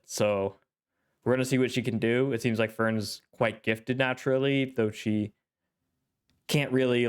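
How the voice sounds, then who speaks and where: A young man hosting an anime reaction channel in casual solo commentary, thoughtful and rambling with many fillers, his nose congested and throat needing clearing. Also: mid-range voice.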